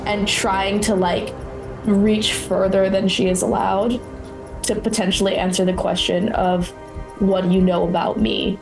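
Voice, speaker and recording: Halting woman player over faint music. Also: mid-range voice, little pitch movement, vocal fry, rough recording. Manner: halting, thinking aloud as she goes. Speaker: tabletop roleplaying player. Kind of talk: improvised description of her character's actions.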